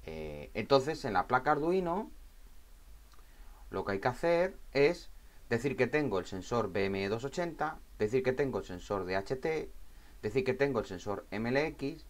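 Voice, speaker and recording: A man with an accent from Spain and a medium-pitched voice walks through technical steps as a tutorial instructor. His delivery is informal and spontaneous, with repeated phrases and frequent little tags to check that the listener follows. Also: big pitch swings, moderate pace. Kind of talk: informal step-by-step technical explanation.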